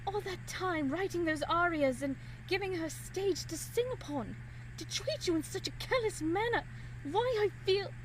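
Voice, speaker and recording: A woman acting a caring, earnest young gentlewoman of old Vienna, speaking formally and apologetically in a period audio drama. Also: very high-pitched, normal speed, a little hiss or hum, low-quality recording. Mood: hurt, earnest. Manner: formal, genteel, apologetic speech.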